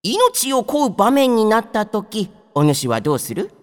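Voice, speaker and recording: Audio drama actress: solemn, old-fashioned speaker, animated intonation, long echoing tail. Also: female voice, a little high, unhurried. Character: solemn, archaic-spoken voice from memory.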